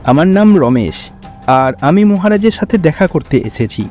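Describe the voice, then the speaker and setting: A man's voice: fairly high pitch, very animated, moderate pace, a little mellow, faint music behind. A man voicing an earnest, kindly young village hero in a fairy-tale cartoon, given to exclaiming. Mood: earnest, polite.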